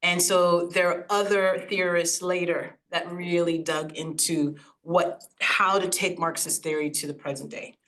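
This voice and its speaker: A woman raised in the United States by Haitian parents, Haitian Creole her first language, giving a reflective talk over slides and often pausing to search for words. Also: on the low side, little pitch movement, fairly bright tone, poor audio. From United States, Haitian family. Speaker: guest speaker. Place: online recording, video call.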